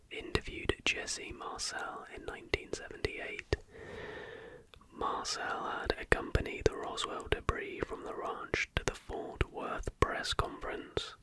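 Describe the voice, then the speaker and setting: Hushed, fully whispered ASMR narrator. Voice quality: breathy, unvoiced whisper. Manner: hushed, measured delivery. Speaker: ASMR video host. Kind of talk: ASMR reading of conspiracy history, scripted narration with brief asides.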